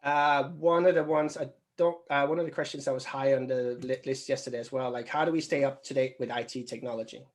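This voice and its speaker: A man's voice: mid-range voice, fairly even intonation, a little fast, hardly any pauses. A man answering questions casually as a recruitment trainer, thinking aloud and rambling as he explains.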